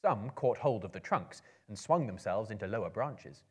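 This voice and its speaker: A man in his thirties giving a theatrical, measured dramatic reading of a fantasy story. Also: on the low side, animated, darkish tone, slightly rough. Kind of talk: dramatic reading of a fantasy novel to a live audience. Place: book-lined room with a live audience.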